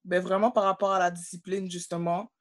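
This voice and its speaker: A woman's voice: on the low side, little pitch movement, brisk, no echo. Young Québécois woman, student guest, candid conversational delivery, dry close-miked sound over a video call. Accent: Québécois French. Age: young adult. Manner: candid, conversational, fillers like "tu sais".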